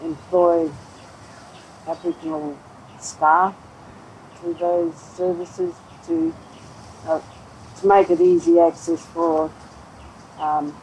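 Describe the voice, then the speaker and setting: A woman in an interview speaking in a halting way with many pauses. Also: on the low side, little pitch movement, a little mellow, a little hiss or hum, rough recording.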